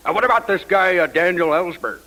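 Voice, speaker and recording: An actor playing a vindictive, paranoid, profane US president who grumbles and schemes insistently in a radio drama. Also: male voice, high voice, fairly quick, sharp tone. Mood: scheming, agitated. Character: US President, vindictive, paranoid, profane politician plotting against enemies.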